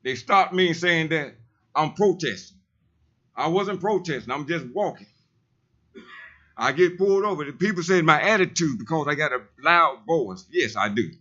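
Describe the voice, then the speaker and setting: A man with a heavy, loud voice and a forceful, bright edge, speaking with preacher-like insistence and circling back to his points. He sits a little higher than most men, and the audio is dull and lo-fi, like a council meeting recording. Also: animated, moderate pace. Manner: emphatic, repetitive, preacherly insistence. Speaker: resident speaking at public comment. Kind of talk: public comment at a council meeting.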